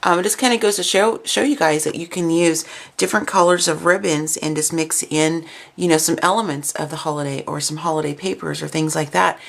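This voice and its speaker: A woman with a raspy, slightly cold-affected voice giving a casual, chatty craft demonstration. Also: low voice, steady tone, a little fast. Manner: chatty, informal, explanatory. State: coming down with a cold. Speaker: craft video host.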